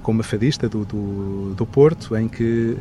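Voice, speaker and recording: A man's voice: lowish voice, unhurried, dark tone, soft background music. A man interviewing a fado singer in a conversational way, hesitating often with fillers and restarts.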